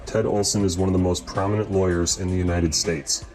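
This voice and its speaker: A man reading scripted documentary narration in a measured, formal way, as the narrator. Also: low-pitched, little pitch movement, a little mellow, rough recording. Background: guitar music.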